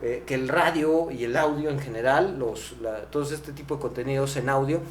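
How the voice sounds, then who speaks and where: A Mexican man hosting a podcast, explaining conversationally and haltingly, often tagging his phrases with a questioning word. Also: medium pitch. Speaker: podcast host. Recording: echoey room, clear background noise, hum.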